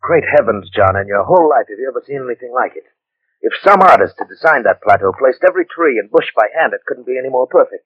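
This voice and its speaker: Mid-pitched male dreamer, impulsive gentleman adventurer, dry and dull 1940s radio play sound. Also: expressive, fast, husky, no echo. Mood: awestruck. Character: wealthy, dreamy, impulsive adventurer falling under a spell. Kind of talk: 1940s radio drama acting.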